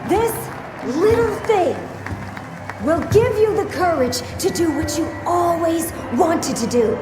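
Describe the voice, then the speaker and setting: Crazed female guru villain for a game: theatrical, mock-sweet, slow delivery, big echo, loud music. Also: extremely high pitch, expressive, crowd noise, full character voice. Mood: gleeful, taunting. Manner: showy, gleeful, mock-soothing, drawn-out phrasing. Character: manic theatrical female villain posing as self-help guru. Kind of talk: theatrical motivational stage speech. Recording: echoey hall, loud music behind.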